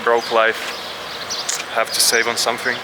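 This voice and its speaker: A man's voice: mid-range voice, completely flat delivery, moderate pace. A man with a Finnish accent chatting casually and bluntly as a vlogger, swearing freely in an informal way. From Finland. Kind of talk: casual chat to camera. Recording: clear background noise, traffic and ambience.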